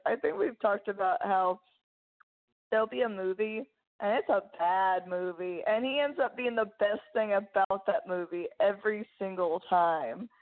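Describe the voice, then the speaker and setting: Informal woman co-host on thin, phone-like audio. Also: mid-range voice. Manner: informal, mildly profane, rambling. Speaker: podcast co-host. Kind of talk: casual chat about film actors.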